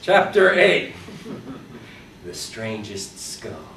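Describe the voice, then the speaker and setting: Male author, theatrical and wry horror storyteller, lively pitch movement, echoey live room with faint noise. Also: very slow. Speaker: author reading his own fiction. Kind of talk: dramatic live reading of short horror fiction. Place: live reading at a convention, indoors. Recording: roomy, distant live recording with background noise.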